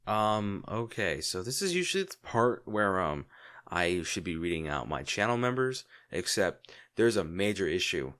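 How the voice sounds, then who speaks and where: A man's voice: low voice, sing-song, normal speed, fairly bright tone. A man talking casually and informally to his audience as a channel creator, a little hesitant. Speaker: audio roleplay channel creator. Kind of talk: casual apologetic channel outro.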